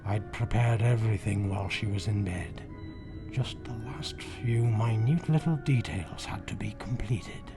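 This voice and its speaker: A cold, brooding killer husband in a 1940s radio thriller. His low voice creaks, slow and deliberate, with hushed menace. Loud music runs under the old recording. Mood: secretive, calm. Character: coldly calm, brooding murderous husband who narrates his crime. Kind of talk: 1940s radio drama acting.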